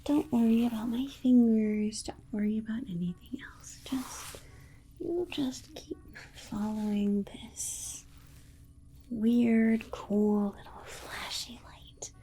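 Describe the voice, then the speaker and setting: A woman's voice: a little high, takes it slowly, mellow, a little hiss or hum, low-quality recording. A woman voicing a soothing, condescending female alien scientist in a whispered ASMR exam roleplay, coddling and reassuring with murmured asides.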